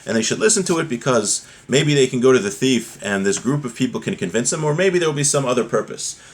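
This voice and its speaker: A man's voice: medium pitch, animated, fast talker, breathy edge. A man giving a religious lesson, reading from a text and explaining it conversationally with rhetorical questions. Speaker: religious teacher.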